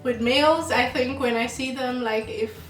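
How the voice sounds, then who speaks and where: A young woman from Jamaica co-hosting a casual chat, slow and laughing often. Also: high-pitched. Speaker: channel co-host. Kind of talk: casual get-to-know-me chat. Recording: roomy sound, mic at a distance, music behind.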